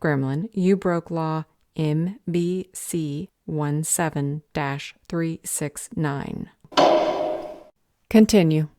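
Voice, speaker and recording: Fantasy roleplay council judge, female actor: low, dark voice, stern, curt and officious. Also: steady tone, extremely slow, no room sound, studio quality, full character voice. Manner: formal, officious, commanding. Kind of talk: audio roleplay fantasy drama.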